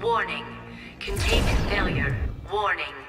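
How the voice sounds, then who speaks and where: A performer voicing an impersonal facility computer in a video game, giving flat, formal warning announcements. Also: medium pitch, unhurried, close to an everyday voice. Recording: echoey distant PA, loud music.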